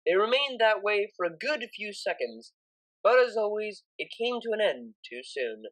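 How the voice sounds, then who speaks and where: A woman's voice: mid-range voice, big pitch swings, moderate pace, poor audio. A woman narrating a scripted story expressively, as an audiobook reader.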